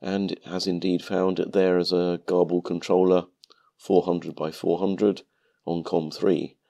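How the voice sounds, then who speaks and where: A man narrating a calm step-by-step tutorial, sounding nasal from a cold. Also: sits low, measured pace, gravelly. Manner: calm, methodical explaining. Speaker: maker channel host.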